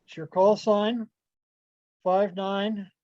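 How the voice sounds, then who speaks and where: A man giving an informal club presentation over a video call, speaking haltingly with pauses. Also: high voice, flat delivery, no room sound. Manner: halting, pausing delivery. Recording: dull, lo-fi video call audio.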